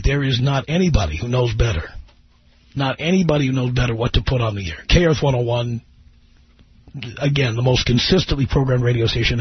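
A man's voice: medium pitch, slightly rough, dry recording. A middle-aged man hosting a live radio talk show, brash and joking, teasing his own studio staff. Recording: dry, dull radio broadcast.

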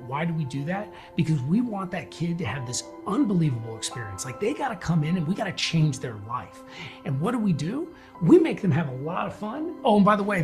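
A man giving an energetic promotional pitch and answering his own questions. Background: soft music.

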